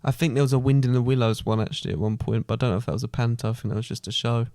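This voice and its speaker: A British man with a medium-pitched voice, co-hosting a podcast in loose, casual conversation. He has a teasing, joking manner, and the recording is very dry with no room sound. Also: fairly even intonation, racing, warm tone, no room sound. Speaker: podcast co-host. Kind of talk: casual chat.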